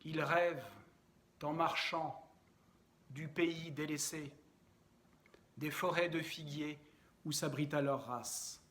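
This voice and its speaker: A man reciting poetry in a measured, deliberate and expressive way, with frequent pauses. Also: on the high side.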